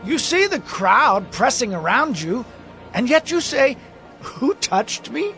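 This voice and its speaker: Incredulous disciple, very high-pitched. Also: male voice, very animated, slow, pushed and bright, faint music behind, heavily acted. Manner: incredulous questioning. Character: incredulous male disciple, agitated.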